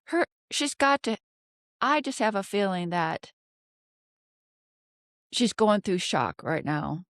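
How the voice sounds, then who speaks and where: Woman doing a tarot reading off the cuff, real slow n halting, clear smooth voice, asks herself questions out loud. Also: medium pitch, sing-song, no room sound, clean studio sound. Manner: halting, pondering aloud with rhetorical questions. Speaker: tarot card reader, host. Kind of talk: unscripted tarot reading commentary.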